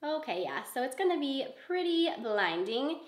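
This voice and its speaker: A woman in her twenties, hoarse and congested from a cold, chatting excitedly as she unboxes beauty products. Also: sits high, normal speed, roomy sound. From Michigan. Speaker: beauty YouTuber. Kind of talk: casual unboxing vlog.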